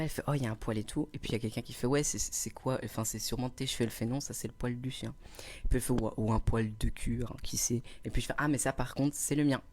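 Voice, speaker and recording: A young man hosting a casual livestream, answering chat and spinning cheeky, self-mocking stories. His voice sits at a middling pitch. It is picked up close on a small, inexpensive microphone. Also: a little mellow, slight background noise. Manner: playful, teasing, self-mocking storyteller. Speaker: livestreamer reading chat comments. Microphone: cheap small clip microphone.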